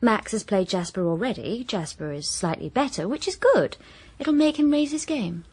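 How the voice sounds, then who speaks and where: A brisk, persuasive wife. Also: female voice, fairly high pitch, sing-song, a little mellow, husky, full character voice. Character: brisk, persuasive woman leaving her husband. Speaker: solicitor and estranged wife. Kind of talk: radio comedy drama dialogue.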